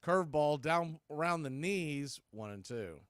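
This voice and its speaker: A man's voice: a little high, expressive, unhurried, clean tone, no room sound, clean studio sound. A man doing live radio baseball commentary in clipped, conversational phrases, hosting the play-by-play.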